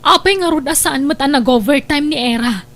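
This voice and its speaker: A woman's voice: high-pitched, a little fast, fairly bright tone. A woman with an Ilocano Filipino accent acting a suspicious, hurt wife and mother in an audio drama. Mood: hurt, accusing.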